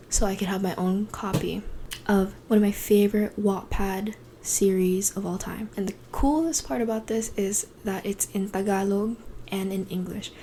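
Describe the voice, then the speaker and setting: A young woman from the Philippines, whose first language is Cebuano, rambles through a chatty bookshelf tour late at night. She sounds tired, and her voice often drops to a hushed, breathy tone. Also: mid-range voice, slight background noise. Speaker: book vlogger.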